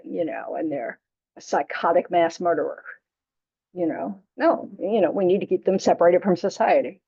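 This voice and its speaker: A woman's voice: mid-range voice, husky. A woman teaching Buddhist practice to a small class in a chatty, frank way, often teasing her students and saying "you know". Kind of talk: casual dharma teaching to a class. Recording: dull lo-fi class recording.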